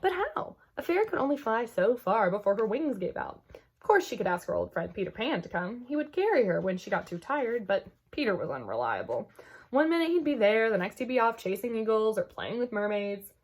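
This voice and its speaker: A woman reading a children's story aloud expressively, with dramatic emphasis and hushed moments. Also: on the high side, very animated, fairly quick, slightly rough. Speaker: children's book read-aloud host.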